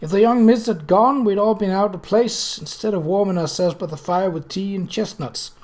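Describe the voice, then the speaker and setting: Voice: mid-range voice, normal speed, darkish tone, pitched above the performer's own voice, close to an everyday voice. A reader voicing a kindly but bossy working-class woman cook with folksy, matter-of-fact speech in a story. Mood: factual.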